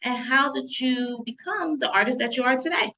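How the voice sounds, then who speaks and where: A woman's voice: high-pitched, normal speed, sharp tone, highs cut off, a little hiss or hum. A woman hosting an interview show, introducing her guest and praising him with enthusiasm. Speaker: host.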